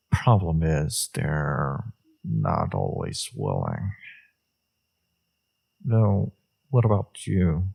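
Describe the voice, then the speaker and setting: A man playing a flirtatious, teasing villain with a secretly tender side in an intimate romantic audio roleplay, his voice husky and murmured. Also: mellow, full character voice. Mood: gentle, probing. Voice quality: low, husky, gravelly, breathy. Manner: slow, teasing, murmured delivery.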